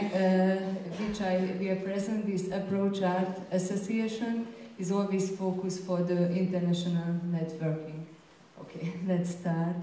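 In a very reverberant room, heard a little from the microphone, a woman with a Hungarian accent presents to a conference. She speaks slowly and hesitantly, pausing often as she searches for words. Her delivery stays fairly flat in pitch. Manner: halting, hesitant non-native English with frequent pauses. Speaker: gallery curator and presenter. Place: indoor presentation room, seated at a panel table. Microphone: handheld microphone through room sound. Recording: very echoey room, distant, faint noise.

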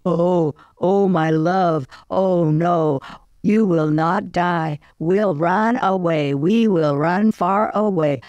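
A man acting a young man who rehearses a lover's part in a school musical, with halting, melodramatic line readings. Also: high voice, darkish tone, clean tone, no echo, lo-fi sound. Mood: ardent.

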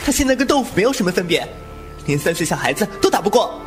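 Cheeky high-pitched young rogue played by a man. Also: big pitch swings. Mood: concerned. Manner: cheeky, quick to mock. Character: glib, sharp-tongued, teasing young martial-arts rogue. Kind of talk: wuxia audio drama acting. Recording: music under the voice.

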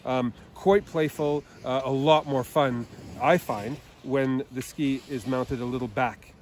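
A man's voice: medium pitch, steady tone, a little slow, a little hiss or hum. A man giving a casual ski review outdoors on a snowy mountain, hesitant with many fillers. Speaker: ski tester.

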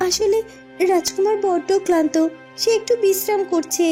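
A woman voicing a gentle, reassuring young princess in a fairy-tale cartoon. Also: extremely high pitch, steady tone, normal speed. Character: gentle, reassuring young princess speaking to her mother-in-law. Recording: music behind the voice.

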